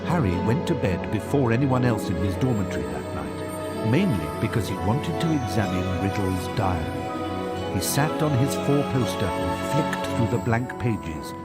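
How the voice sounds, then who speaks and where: A man's voice: on the low side, very animated, measured pace, over loud music. A man narrating a video game like a storybook. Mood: storytelling.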